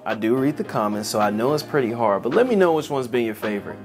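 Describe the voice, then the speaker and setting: A man's voice: medium pitch, expressive, fast. A man hosting a comedy video to camera on a lapel mic in a studio, speaking in a playful, conversational way. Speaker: host. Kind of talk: scripted to-camera presenting. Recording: voice with light music underneath.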